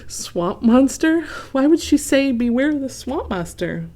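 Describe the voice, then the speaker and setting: A woman's voice: high voice, very animated, unhurried, mellow, voice pushed up, heavily acted. A woman voicing a timid, thoughtful young male goose in a children's storybook reading, hesitant and nervous.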